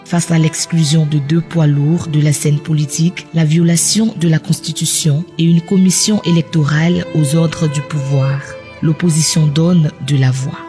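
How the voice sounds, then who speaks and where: A man's voice: a little high, fairly even intonation, moderate pace, dark tone, faint music behind. A man reading scripted narration for a news documentary in a formal reporting style.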